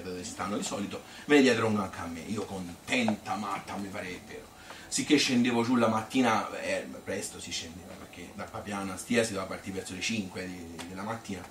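A man with a Tuscan accent playing a nostalgic, cheerful old grandmother in a chatty, halting stage monologue. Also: lowish voice, fairly bright tone, roomy sound, far from the mic, a little hiss or hum, lightly acted. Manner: colloquial, halting, repeating phrases, chatty. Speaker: solo stage storyteller. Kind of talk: theatrical monologue as spontaneous spoken reminiscence.

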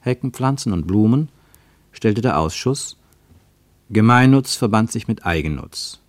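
Male narrator, lowish voice, measured descriptive radio drama reading, dry studio. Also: lively intonation, dry recording. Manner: measured, descriptive, building long lists.

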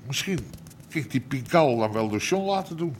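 An actor in a radio drama playing a grumbling Amsterdam red-light boss, colloquial and full of hesitant second thoughts. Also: male voice, mid-range voice, lively intonation, moderate pace, close to an everyday voice. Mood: musing, doubtful. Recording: clear ambient noise behind voice.

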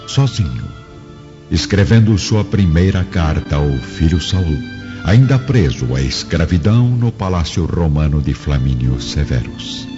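A man's voice: extremely low pitch, animated, unhurried, dull audio, over music. A man narrating a radio drama from a script in a solemn, theatrical way.

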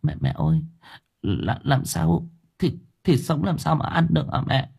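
A man voicing a timid middle-aged daughter-in-law who stammers and pleads softly. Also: a little high, animated, normal speed, breathy edge, voice pushed up. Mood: pleading.

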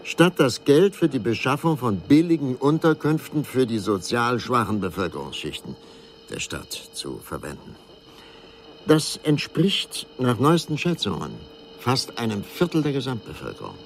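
A man's voice: medium pitch, sing-song, moderate pace, a little hiss or hum. An actor playing a male news reporter who gives an earnest, emphatic, formal report in a radio drama.

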